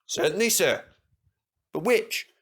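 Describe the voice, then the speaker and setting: A man's voice: sits high, animated, takes it slowly, a bit bright, husky, pitched above the performer's own voice, full character voice. A man voicing an obliging railway inspector in a children's story, polite and deferential. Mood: apologetic.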